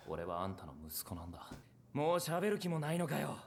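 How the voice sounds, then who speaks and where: Casting: earnest, troubled young man for anime drama; very wide swings of pitch, quick delivery. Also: sing-song, creaky, a little mellow, slight background noise. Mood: bitter anger. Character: distraught, bitter young man, earnest and vulnerable. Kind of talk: Japanese anime drama acting. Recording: anime soundtrack with music behind.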